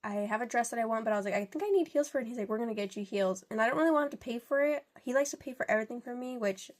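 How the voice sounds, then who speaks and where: A young woman with a congested voice from a cold, chatting in a rambling way while counting out cash for her budget. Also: fairly high pitch. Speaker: budgeting vlogger.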